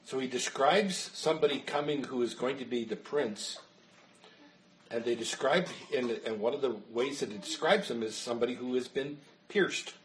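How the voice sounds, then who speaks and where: A man's voice: mid-range voice, normal speed, slight background noise, rough recording. A man, a pastor, teaching a Bible study in an earnest, explanatory way and answering questions while losing his voice.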